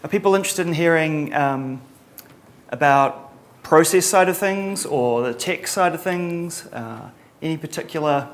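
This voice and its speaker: A middle-aged man from New Zealand with a Kiwi accent, giving a relaxed conference talk with lots of ums and a dry sense of humor. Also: on the high side, a little slow. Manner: casual, many ums and uhs, dry humor. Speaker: conference presenter.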